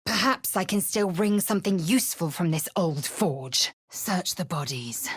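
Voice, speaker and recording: A proud, fierce warrior woman from another world, set in a fantasy game. Her voice sits low and husky, and the pace is slow and deliberate. The recording is dry and close, with no room behind her. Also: lively intonation, no echo. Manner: clipped, commanding, often low and hushed. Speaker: game character. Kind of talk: studio game voice acting.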